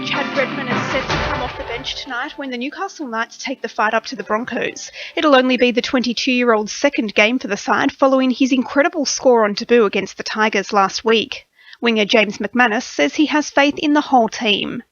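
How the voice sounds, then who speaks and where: A woman's voice: fairly high pitch, fairly quick, fairly bright tone, no echo, muffled recording, soft background music. A woman with an Australian accent reading a scripted sports bulletin as a radio newsreader. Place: dry radio studio.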